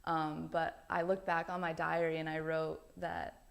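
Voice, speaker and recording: Chatty young woman with a smooth voice. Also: deep voice, flat delivery, a little slow. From Belgium. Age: young adult. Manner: chatty, many ums and likes. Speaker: college student introducing herself. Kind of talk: casual self-introduction.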